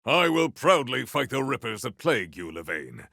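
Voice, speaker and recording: A man's voice: medium pitch, very animated, moderate pace, vocal fry, sharp tone, no echo, studio quality, full character voice. A man playing a solemn, proud warrior in a video game, speaking with formal, stately diction and dramatic weight. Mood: earnest, stately. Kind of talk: scripted game character lines.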